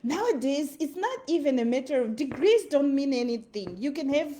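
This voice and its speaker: A woman's voice: sits high, lively intonation, normal speed, warm tone. A woman with a Zimbabwean accent giving an earnest motivational talk, repeating her phrases for emphasis. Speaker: motivational speaker. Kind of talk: motivational monologue.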